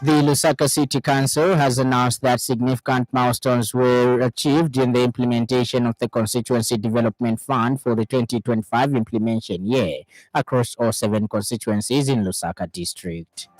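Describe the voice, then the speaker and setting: A man with a Zambian accent reads scripted radio news. His voice sits at a middle pitch, and the studio sound is dry, with very little room around it. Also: fairly even intonation, a little fast, hardly any pauses, a little mellow, dry recording, low-quality recording. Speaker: news presenter.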